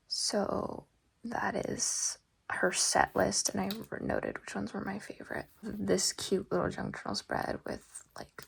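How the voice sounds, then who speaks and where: A young woman talking through her journal pages in a casual, rambling way, her voice raspy today and often dropping to a soft half-whisper. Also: mid-range voice. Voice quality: gravelly, husky. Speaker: journal vlogger.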